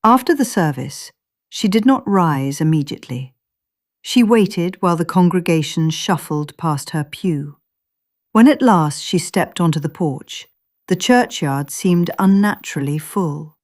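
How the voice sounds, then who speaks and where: A woman narrating a scripted story in a measured, literary storytelling manner. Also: on the low side, lively intonation, a little mellow.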